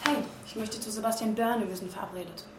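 A woman's voice: mid-range voice, fairly even intonation, fast, darkish tone, close to an everyday voice. A woman playing a clever, self-assured young student in a radio drama, matter-of-fact and articulate. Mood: businesslike. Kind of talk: naturalistic radio drama acting. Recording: echoey room, faint noise.

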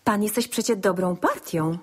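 An actress playing a naive, giggly young provincial bride-to-be in a period comedy radio play, artless and chirpy in manner. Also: female voice, medium pitch, moderate pace, husky, natural voice. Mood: artless, matter-of-fact.

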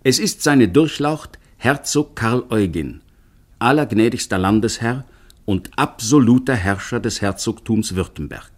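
A man narrating a radio drama in a measured, descriptive way. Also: medium pitch, lively intonation.

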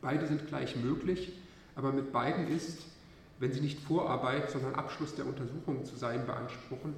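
A man's voice: mid-range voice, fairly even intonation, moderate pace, slight background noise. A male university lecturer with a cold gives an academic lecture, hesitant and full of filler sounds. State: has a cold. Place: lecture hall. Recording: echoey lecture hall from far off.

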